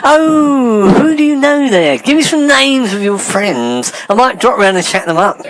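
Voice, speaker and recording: A man playing a camp, touchy, chatty English gentleman with affected, arch airs in an old-fashioned radio sitcom style. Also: extremely high pitch, very animated, a little slow, full character voice. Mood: cheeky. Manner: affected, arch, gossipy, indignant.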